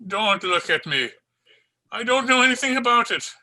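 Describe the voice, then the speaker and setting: A man's voice: high voice, lively intonation, normal speed, bright, forceful tone, full character voice. A man playing an anguished, panicked police sergeant uncle in an old time radio drama, frantic and pleading, repeating himself, recorded over a video call.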